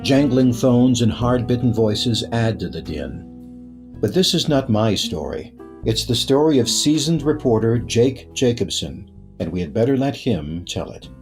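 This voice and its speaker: A man's voice: mid-range voice, lively intonation, measured pace. A man narrating as the announcer of a radio play, setting the scene in a theatrical, scripted storyteller style. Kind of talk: scripted old-time radio drama narration. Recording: guitar music clearly behind the voice.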